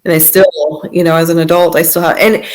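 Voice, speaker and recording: Low-pitched woman sharing personal testimony. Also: level tone, fairly quick, rough recording. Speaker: podcast guest sharing testimony.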